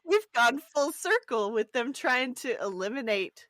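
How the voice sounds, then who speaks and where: A woman's voice: on the high side, expressive, measured pace, a bit bright, dry recording. A woman co-hosting a podcast in casual, joking discussion with a playful manner. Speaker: podcast co-host.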